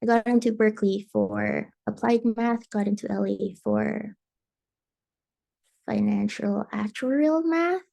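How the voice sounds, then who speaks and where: A woman's voice: mid-range voice, unhurried, vocal fry, dark tone. A young woman from Indonesia speaking on a student transfer panel, giving detailed advice with frequent ums. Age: young adult. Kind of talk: panel answer, casual.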